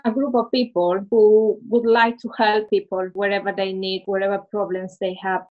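A woman's voice: medium pitch, flat delivery, normal speed. A woman from Ecuador who owns a business and studies English, speaking fluently and confidently. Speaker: ESOL student and business owner. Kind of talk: casual self-introduction. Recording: dull lo-fi recording.

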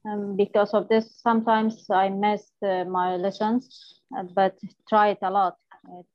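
A woman from Afghanistan, an ESOL student, answering hesitantly with many pauses and fillers. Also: mid-range voice, level tone, dry recording, dull audio. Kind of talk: podcast interview answers.

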